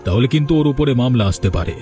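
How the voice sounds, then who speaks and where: A man's voice: mid-range voice, animated, racing, dark tone, faint music behind, lightly acted. A man voicing a spiteful village uncle who schemes in a sly, conspiratorial way, in a cartoon. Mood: spiteful, scheming. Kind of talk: Bengali cartoon dialogue dubbing.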